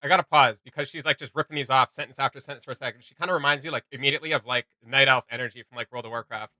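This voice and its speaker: A man hosting a reaction video with casual, excitable, slangy commentary. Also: medium pitch, rapid-fire, dry recording.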